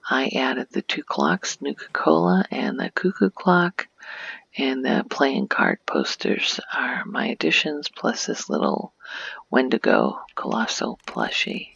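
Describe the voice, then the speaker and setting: A woman softly narrating an ASMR video game tour in a breathy, near-whispered voice, explaining gently and without hurry. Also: extremely low pitch, sing-song, slow, no room sound, highs cut off.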